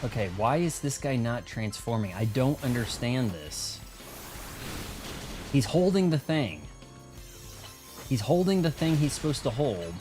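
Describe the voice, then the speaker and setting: A man's voice: medium pitch, lively intonation, measured pace, long pauses, music in the background. An American man hosting a video game livestream, talking casually in a rambling, conversational way. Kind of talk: casual gaming stream chat and commentary.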